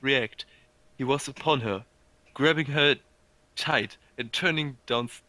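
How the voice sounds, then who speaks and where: Bright-voiced man narrating haltingly. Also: medium pitch, no room sound, low-quality recording. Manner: stumbles over words, informal. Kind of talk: amateur fan fiction reading.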